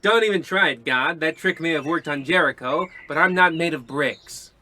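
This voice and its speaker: A man's voice: a little high, moderate pace, pushed and bright. An actor playing a brash, hard-boiled police detective in old-time radio comedy style, with punchy tough-guy delivery. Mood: defiant.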